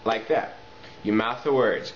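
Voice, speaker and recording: A man talks through an informal tutorial in a roomy, echoing space. His audio is slightly dull and lo-fi with faint noise underneath. He explains things step by step in a halting, casual way at a medium pitch. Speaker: talk box tutorial presenter. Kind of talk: casual instructional video talk. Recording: echoey room, muffled lo-fi audio, faint noise.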